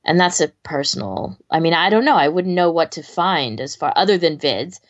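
A woman's voice: sits low, lively intonation, normal speed, highs cut off. A woman co-hosting a casual podcast discussion, thinking aloud in a tentative, hedging way. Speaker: podcast co-host.

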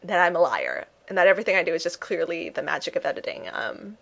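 A woman's voice: fairly low pitch, big pitch swings, fast, gravelly, fairly bright tone, highs cut off. A woman in casual confessional chat, hesitant, peppering her speech with filler words. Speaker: podcast contributor in a clip.

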